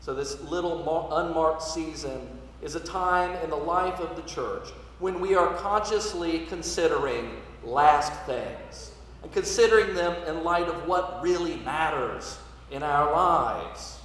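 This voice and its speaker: A male priest giving a sermon in measured, explanatory short phrases. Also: fairly high pitch, fairly bright tone. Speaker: priest preaching. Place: large reverberant church. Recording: echoey church, distant mic, noisy.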